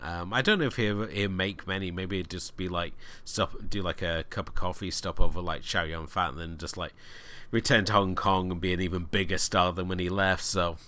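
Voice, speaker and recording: Deep, clear-voiced man talking films. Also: fairly quick, few pauses. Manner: relaxed, enthusiastic recommendations. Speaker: podcast co-host. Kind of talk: casual film discussion.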